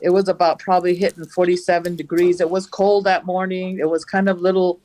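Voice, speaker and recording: A woman from the Navajo Nation, a farmer, recounting events in a studio interview with a loud voice and an animated telling. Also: on the low side, fairly quick, dry recording. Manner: animated, acts out the dialogue she recounts. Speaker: farmer, guest.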